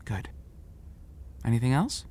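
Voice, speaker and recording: A man playing a patient, paternal small-town sheriff in an audio drama, measured and reassuring but firm. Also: mid-range voice, very animated, mellow, dry recording. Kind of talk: naturalistic audio drama acting.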